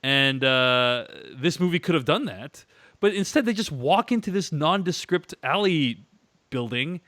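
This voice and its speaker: Bright-voiced male podcast host. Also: fairly high pitch, lively intonation, unhurried, dry recording. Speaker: podcast host, film reviewer. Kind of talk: casual film review discussion.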